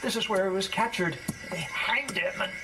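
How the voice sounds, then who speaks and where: A man's voice: sits high, moderate pace, background noise, natural voice. An actor playing a timid, jittery, superstitious man riding at night in a theatrical audio drama, muttering hesitantly to himself. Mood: frightened. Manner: hesitant muttering to himself, breaking into nervous whispers and exclamations. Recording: distant voice under loud music.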